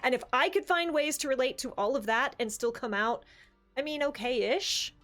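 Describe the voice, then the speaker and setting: High-pitched American woman, emphatic talker. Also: normal speed, fairly bright tone, soft background music. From Bible Belt, United States. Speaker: podcast co-host. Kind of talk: opinionated casual discussion.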